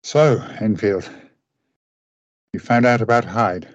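A man playing a guarded, irritable gentleman doctor in a radio play, with a husky, creaky voice. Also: lowish voice, slow, dry recording, muffled recording. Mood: guarded, weary.

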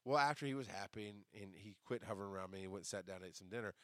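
A man hosting a podcast, telling a story in casual, profane, animated fashion and acting out what people said, with a deep, bass-heavy voice. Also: rapid-fire, no room sound. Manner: animated, profane, acts out quoted lines. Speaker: podcast host.

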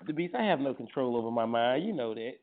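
A man hosting a morning radio show, joking and teasing his co-hosts loudly. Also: mid-range voice, lively intonation, quick pace, slight background noise. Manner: loud, teasing, trash-talking. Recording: tinny phone-like sound.

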